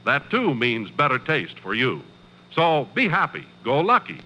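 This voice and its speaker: A man's voice: mid-range voice, big pitch swings, unhurried, sharp tone. An American man announcing in scripted 1950s radio style, with a polished, emphatic sales manner. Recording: dull old radio recording, music behind.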